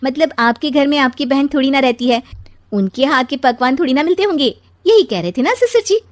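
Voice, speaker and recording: A woman's voice: sits high, expressive, fairly quick, dry recording, clean studio sound, pitched above the performer's own voice. A woman voicing a confident, cheeky young daughter-in-law in a cartoon story, teasing and cocky. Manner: bright-spirited, teasing, cocky. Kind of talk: Hindi cartoon story acting.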